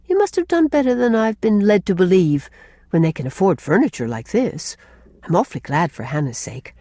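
Young woman, played by a female narrator, plucky, chatty, animated pitch, dry close recording, storybook dialogue. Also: a little fast, no echo. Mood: musing. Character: young woman, sensible, plucky, chatty, flustered when cornered. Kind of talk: audiobook character dialogue.

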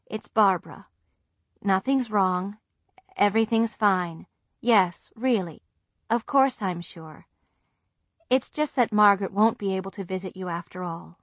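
A woman voicing a gentle, tired mother who speaks softly and quietly. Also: mid-range voice, unhurried, pauses often, dry recording, clean studio sound, lightly acted. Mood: reassuring. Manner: soft and quiet.

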